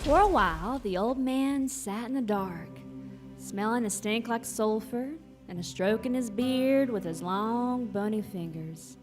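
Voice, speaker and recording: A woman's voice: fairly high pitch, measured pace, over music. A woman storyteller narrating a folksy horror tale live on stage, with dramatic phrasing and rustic dialect. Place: live stage in a recreation center.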